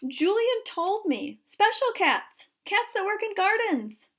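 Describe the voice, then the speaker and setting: A woman voicing an excitable, gullible little boy in a storybook, earnest and eager. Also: sits high, moderate pace. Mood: tearful.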